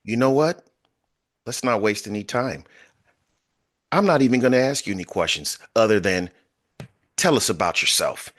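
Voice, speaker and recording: A man hosting a podcast interview, welcoming his guest in an effusive, formal way with drawn-out phrasing. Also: on the low side, expressive, a bit bright. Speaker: podcast host.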